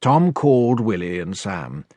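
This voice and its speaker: A man's voice: on the low side, sing-song, measured pace, darkish tone, no room sound. Dry-recorded British man narrating. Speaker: audiobook narrator. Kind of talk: scripted narration of a children's novel. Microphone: close, dry studio recording.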